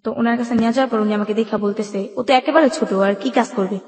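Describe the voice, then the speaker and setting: Female, Bangladeshi accent, interview guest recounting life story colloquially, quick delivery, reverberant sound. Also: a little high, fairly even intonation, a little mellow. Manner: colloquial, acts out remembered dialogue. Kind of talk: personal storytelling in a radio interview. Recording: echoey room with long reverb.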